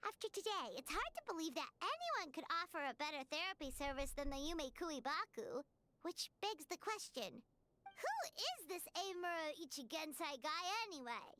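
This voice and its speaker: A tiny floating companion creature, squeaky and bright as a bell. She is bubbly and excitable, refers to herself by name, and her sing-song delivery leaps up and down constantly in a game performance. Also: moderate pace, full character voice. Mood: curious. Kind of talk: game voice acting.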